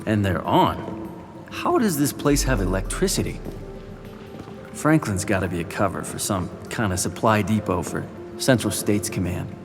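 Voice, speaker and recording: An actor playing a cautious, deferential, inquisitive junior officer in an audio drama. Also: male voice, fairly low pitch, lively intonation, normal speed, over music. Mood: suspicious. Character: cautious, dutiful, questioning male subordinate officer.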